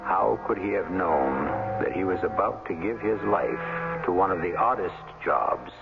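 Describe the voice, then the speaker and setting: A man's voice: sits low, big pitch swings, a little slow. A man narrating as host of a 1970s radio drama, in a dramatic scripted style. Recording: tinny 1970s radio over music.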